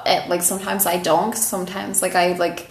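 A woman's voice: lowish voice, level tone, moderate pace. A young woman co-hosting a casual chat podcast, giggly and full of "like" and "you know". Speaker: co-host. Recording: echoey room, faint noise.

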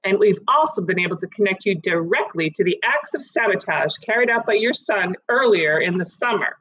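A woman voicing a calm male police inspector in a storybook reading, formal and measured as he accuses. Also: medium pitch, slightly rough, rough recording. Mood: stern.

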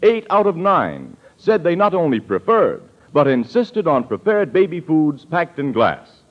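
A man's voice: a little high, huge pitch swings, unhurried. An American man announcing on 1940s radio, reading scripted sponsor copy in a polished, declamatory way. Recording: dull old radio recording, music behind.

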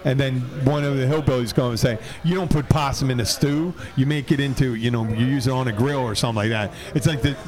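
A man's voice: medium pitch, fast talker, hardly any pauses, a crowd in the background. A man hosting a casual podcast, rambling and laughing often, trailing off mid-sentence. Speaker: podcast host. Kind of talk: casual banter.

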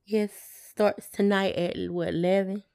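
Slow-talking woman, low-key. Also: steady tone, darkish tone. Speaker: podcast co-host. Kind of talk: casual chat.

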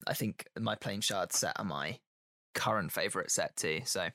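A man with a fairly low but very bright voice, recorded close and dry. He sounds slightly croaky and under the weather. He chats in a friendly, casual way as a podcast host. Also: moderate pace, dry recording. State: unwell, croaky voice.

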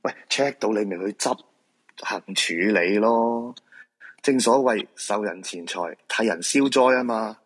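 An actor with a Hong Kong Cantonese accent playing a reluctant, brusque feng shui fortune-teller in a radio drama. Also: male voice, on the low side, unhurried. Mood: wry, teasing. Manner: brusque, protesting, dismissive.

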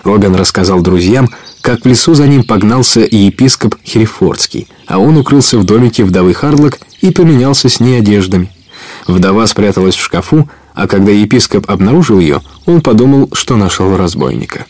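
Male narrator, fairly low voice, scripted storybook reading, radio play. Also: animated, a little fast, darkish tone.

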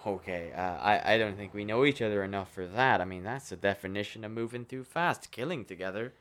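A man playing an unhinged, childish, foul-mouthed vigilante killer in a dark comedic radio play, rambling theatrically between whispers and outbursts. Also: on the low side, a little fast, lightly acted. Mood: indignant.

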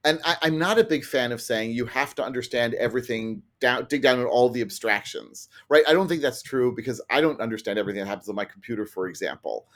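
A man's voice: medium pitch, fast talker, few pauses, a bit bright. A middle-aged man with a mid-range voice speaks as an interview guest. He explains things conversationally, like an experienced trainer, with self-deprecating asides and illustrative examples.

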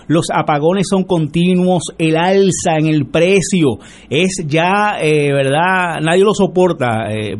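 High-voiced Puerto Rican salesman. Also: a little mellow. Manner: persuasive, filler-heavy with "eh" and "¿verdad?". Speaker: solar company representative, guest. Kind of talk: radio interview sales pitch.

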